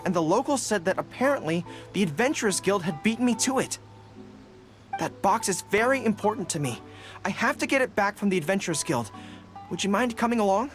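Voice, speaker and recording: A woman's voice: mid-range voice, close to an everyday voice. A woman voicing an earnest, polite, easily flustered young male dog-eared general in a video game. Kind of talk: English video game voice acting. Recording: music under the voice. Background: game music.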